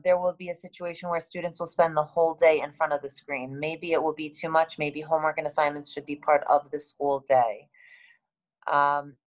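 A woman in her thirties with a halting, matter-of-fact manner, reading and answering questions as a school administrator on a video call. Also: low voice. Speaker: school administrator hosting a town hall. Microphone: video call, computer microphone.

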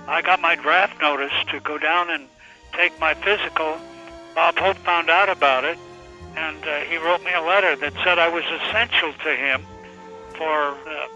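A man's voice: on the high side, fairly even intonation, unhurried, pushed and bright, lo-fi sound, faint music behind. An older American man casually recalling his career as an interviewee. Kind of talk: casual recollection.

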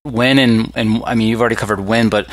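A man hosting a podcast, reading a scripted intro and asking a guest questions in a friendly, measured way. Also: lowish voice, fairly even intonation, dry recording. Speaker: podcast host.

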